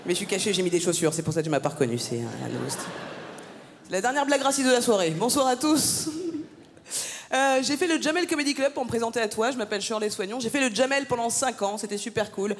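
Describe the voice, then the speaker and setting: A woman's voice: a little high, lively intonation, fast, slight background noise. A woman from France performing a stand-up comedy set on a theatre stage, teasing the crowd with punchy banter. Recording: live gala, long hall reverb.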